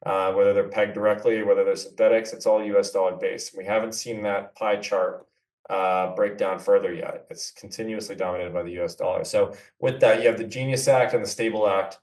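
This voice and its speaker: A man's voice: on the low side, steady tone, fairly quick, a little mellow. A man from New York City, a company co-founder, explaining at length in a businesslike podcast interview. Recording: echoey room, far from mic.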